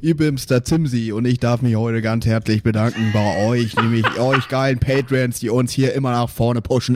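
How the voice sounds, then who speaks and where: A man talking as part of a podcast cast, in a casual and jokey style. His pitch is average and the sound is close and dry. He speaks in slangy, informal language and readily makes fun of himself. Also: a little mellow, no echo. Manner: informal, slangy, self-mocking.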